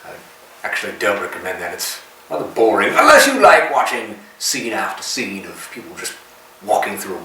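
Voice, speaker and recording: A man's voice: on the low side, sharp tone. A man hosting a B-movie show, casually presenting film trivia with a dry, tongue-in-cheek manner. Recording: very echoey, distant from mic, faint noise.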